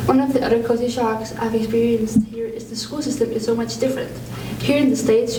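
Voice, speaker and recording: A teenage exchange student from Denmark, reading her feature report in a measured, reflective way. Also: on the high side. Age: teens. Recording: roomy, distant school recording with noise.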